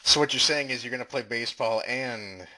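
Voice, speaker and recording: A man's voice: mid-range voice, a little fast, sharp tone, poor audio. A man acting as an interviewer in a comedy skit, asking earnest, formal questions. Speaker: mock interviewer. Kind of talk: improvised mock interview.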